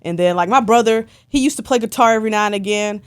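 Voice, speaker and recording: A woman's voice: medium pitch, quick pace, clear, resonant tone. Chatty young woman telling stories. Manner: chatty, animated storytelling with lots of "like". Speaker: podcast guest, concert photographer. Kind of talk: casual interview chat.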